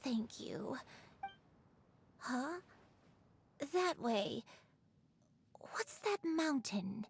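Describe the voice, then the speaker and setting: A woman voicing a frail, confused, gentle old granny with a halting, weary delivery in a video game. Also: sits high, big pitch swings, vocal fry, husky, no echo, exaggerated voice. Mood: apologetic.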